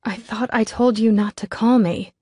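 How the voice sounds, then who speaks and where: A hardened young woman from a thriller audiobook , acted by a woman narrator. She is defiant and hot-tempered, speaking in sharp, terse lines. The recording is dry. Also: mid-range voice, normal speed, no room sound, natural voice. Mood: cold defiance.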